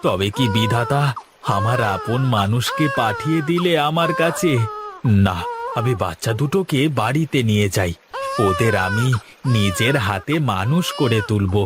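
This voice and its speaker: A man's voice: medium pitch, expressive, normal speed, loud music behind the voice, lightly acted. A man voicing a poor, kind-hearted, jittery boatman in a melodramatic children's cartoon, muttering and exclaiming to himself. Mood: tearful, grateful.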